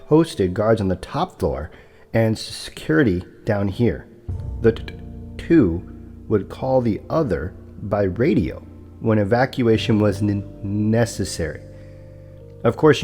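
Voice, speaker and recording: Guy doing a stammering real estate broker for audio drama, dark low voice, very formal and apologetic. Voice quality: stutter. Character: formal, deferential male property broker with a stutter.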